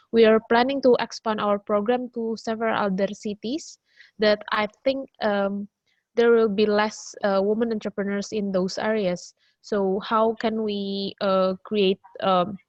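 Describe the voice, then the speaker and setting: A woman explains her program on a video call, halting often and filling gaps with "uh". The audio is dry and a little muffled. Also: mid-range voice, dry recording. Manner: hesitant, lots of fillers. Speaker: program manager, participant.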